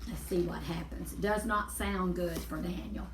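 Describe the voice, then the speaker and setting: A woman's voice: lowish voice, fairly even intonation, moderate pace, background noise. A woman storyteller reading a Bible story aloud for children, explaining and repeating words for emphasis. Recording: echoey room, distant mic.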